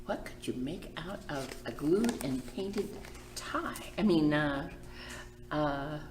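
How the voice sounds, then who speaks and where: A woman reading a picture book aloud to children as a library storyteller, expressive and emphatic, with chatty asides. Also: low voice, slow pace, roomy sound, low-quality recording.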